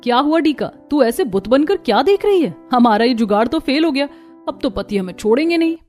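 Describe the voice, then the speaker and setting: A woman's voice: high-pitched, animated, fairly quick, a little mellow, soft background music. A woman voicing a worried, earnest young village daughter-in-law in a cartoon, anxious and pleading.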